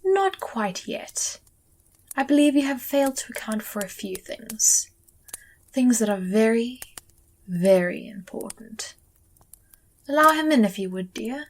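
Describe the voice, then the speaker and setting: Haughty, high-voiced otherworldly judge. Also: female voice, animated, measured pace, pauses often. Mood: teasing command. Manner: imperious, arch, formal. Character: haughty, wry otherworldly wife judging souls. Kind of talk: audio drama acting.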